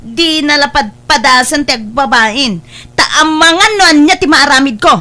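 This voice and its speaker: A woman's voice: sits high, normal speed, pushed and bright. A woman with a Filipino accent playing a hot-tempered, confrontational young wife who scolds and taunts in a comedy drama.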